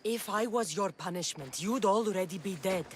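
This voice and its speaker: A woman's voice: medium pitch, moderate pace, slight background noise, natural voice. An actress playing a defiant, self-assured female mercenary in a video game cutscene. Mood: determined.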